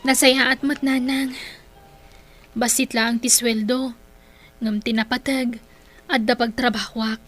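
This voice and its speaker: Outspoken, exasperated Filipino daughter, Ilocano accent, high-pitched and bright, radio drama. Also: female voice, level tone, unhurried, pauses often, soft background music. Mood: earnest. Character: exasperated, outspoken grown daughter of a troubled family.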